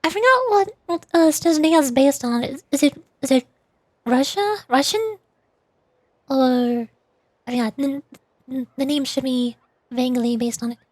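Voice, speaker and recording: High-voiced excitable woman streamer. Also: measured pace, pauses often, no echo. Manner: excitable, chattering, playful exclamations. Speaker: livestreamer, VTuber. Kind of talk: casual gameplay stream chat.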